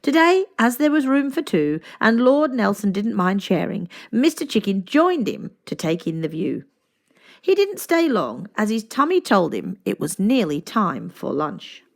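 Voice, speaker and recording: Animated woman reading a children's storybook. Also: mid-range voice, a little slow, vocal fry. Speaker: read-aloud narrator.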